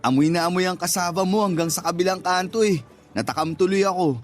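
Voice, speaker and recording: A man's voice: high voice, quick pace, faint music behind, lightly acted. A man acting a teasing, naive customer and suitor in a Tagalog radio drama, joking and placating.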